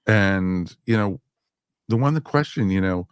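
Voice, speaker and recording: A man with a low voice chats casually as a podcast co-host. He circles back over his phrases and moves haltingly through each point. The recording is dry and close. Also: animated, clear, resonant tone, no room sound. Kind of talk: casual, conversational review.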